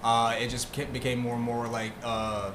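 A man's voice: mid-range voice, completely flat delivery, moderate pace. A man in his late twenties, a guitarist, chatting casually with plenty of "like"s. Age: late 20s. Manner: filler-heavy, talks in many "like"s. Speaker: lead guitarist. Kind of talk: casual interview chat. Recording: roomy echo with clear background noise.

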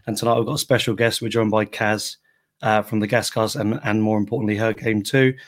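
A man with a croaky voice hosting a casual football podcast and greeting listeners. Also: lowish voice, little pitch movement, brisk. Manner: chatty, reads out listener greetings. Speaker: podcast host.

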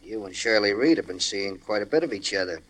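An actor in an old radio crime drama playing a nosy household butler, insinuating in folksy working-class slang with hesitant pauses. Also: male voice, on the low side, lively intonation, fairly bright tone, poor audio. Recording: old-time radio broadcast recording.

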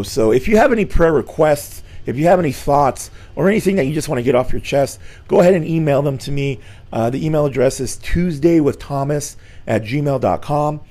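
A slightly hoarse middle-aged man hosting a weekly church show, giving an earnest, informal Bible lesson with many fillers and restarts. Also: mid-range voice, dark tone. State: slightly hoarse, losing his voice.

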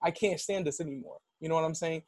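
A man's voice: a little high, quick pace, dry recording, clean studio sound. A young man of Dominican background telling a personal story in casual conversation, full of "like" and "yo.". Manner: animated storytelling, heavy use of "like".

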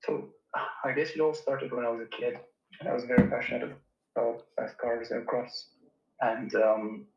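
A man's voice: mid-range voice, normal speed, vocal fry, reverberant, lo-fi sound, a little hiss or hum. A young man from Romania in his twenties, an engineer answering interview questions in a thoughtful, hesitant way with many fillers and restarts. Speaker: engineer guest.

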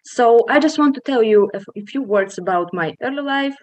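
A young woman from Russia, a guest on a podcast interview, speaking in an earnest, measured way with some fillers. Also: on the high side, lively intonation, darkish tone, no echo. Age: young adult.

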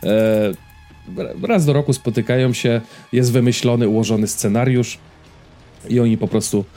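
Mellow-voiced man chatting over music. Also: mid-range voice, steady tone, moderate pace. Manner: hesitant, searching for words, checking in with listener. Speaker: podcast co-host reviewing films. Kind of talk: casual conversational film review.

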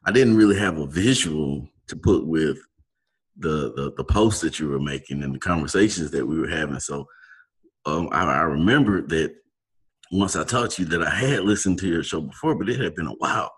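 A man's voice: extremely low pitch, animated, normal speed, husky. A man interviewing a guest in casual chat, rambling and searching for words with many fillers. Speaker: podcast interviewer.

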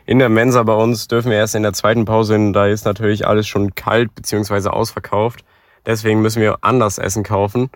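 A man's voice: on the low side, level tone, fairly quick, no room sound, studio quality. A teenage young man with a cold and a stuffy nose, chatting casually and joking in slang as podcast co-host. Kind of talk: casual chat.

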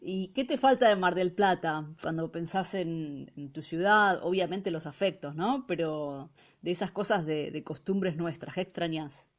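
Argentine female radio host, lowish voice, warm curious questioning. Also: unhurried, few pauses. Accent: Argentine Spanish. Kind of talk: radio interview.

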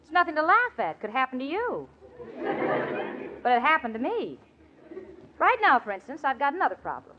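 Wisecracking young woman, high swooping voice, exasperated, vintage radio sitcom, dull noisy recording. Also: normal speed, long pauses, a faint crowd. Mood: wry confiding. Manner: wry, expressive, exasperated. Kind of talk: 1940s radio comedy acting and narration. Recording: dull old radio recording, live audience, noise.

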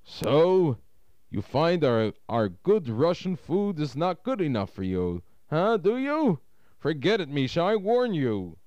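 Storybook uncle, acted out by a male narrator: Russian, blunt, quick-tempered, animated and lifted in pitch. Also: no echo, dull audio. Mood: angry, scolding. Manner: blunt, scolding, rhetorical questions. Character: gruff Russian uncle, jovial but quick-tempered husband.

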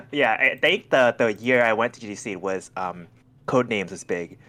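A man's voice: mid-range voice, lively intonation, moderate pace, bright, forceful tone. A young man from Thailand, a podcast guest in a casual chat over a call, talking hesitantly with many restarts and fillers.

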